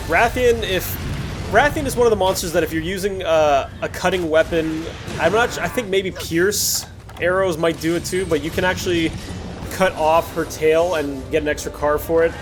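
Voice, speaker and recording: Higher-pitched man narrating gameplay over music. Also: moderate pace. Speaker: host of a gameplay series. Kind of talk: casual post-recorded game commentary. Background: music under the voice.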